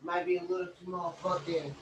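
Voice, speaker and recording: Male stream host, very high-pitched, profane and loose; roomy echo, light hum. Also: little pitch movement, fast talker, far from the mic. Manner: loose, swearing, hyped greetings. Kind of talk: casual livestream chat. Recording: echoey room, faint background hum.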